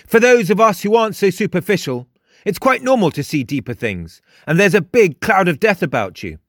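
A man's voice: sits high, big pitch swings, a little fast, a bit bright, dry recording, studio quality, pitched above the performer's own voice. A man voicing a smug, theatrical young male mystic in a storybook, declaiming with pompous emphasis. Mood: knowing, urgent. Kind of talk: audiobook character dialogue.

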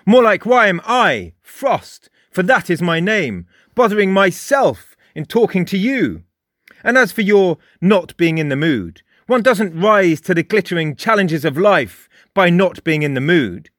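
A man's voice: sits high, very animated, a bit bright, dry recording, clean studio sound, pitched above the performer's own voice, heavily acted. A man doing a cocky fox-like teenage boy. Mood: boastful. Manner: mocking, lofty, rapid-fire barrage. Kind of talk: audiobook character voice.

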